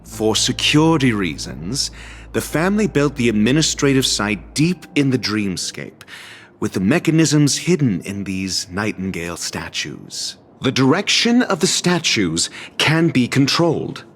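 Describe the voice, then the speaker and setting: An actor playing a haughty, erudite scholar in a video game, speaking in ornate formal phrases with dry, condescending sarcasm. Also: male voice, medium pitch, very animated, a little slow, a bit bright, a little hiss or hum. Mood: impatient, instructive. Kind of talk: video game cutscene voice acting. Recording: background music under studio game dialogue.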